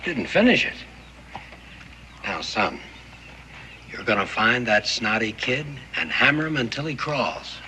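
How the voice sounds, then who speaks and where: Combative old-salt dad, very sharp hard voice, blunt, goading, western TV drama with faint noise. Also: male voice, medium pitch, lively intonation, measured pace, pauses often, poor audio. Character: belligerent, hard-bitten seafaring father. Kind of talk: TV western acting.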